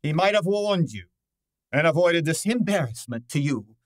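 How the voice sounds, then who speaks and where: An actor playing a pompous, cantankerous gourmet detective in a radio drama, with imperious, formal diction. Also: male voice, fairly high pitch, animated, normal speed, no room sound, studio quality, natural voice. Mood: haughty, brusque. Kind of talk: old-time radio drama acting.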